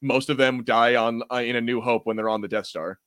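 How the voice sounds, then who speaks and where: A man's voice: medium pitch, steady tone, fairly quick, a bit bright, dry recording. Casual male podcast host, dry close sound. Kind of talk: casual chat, fan discussion.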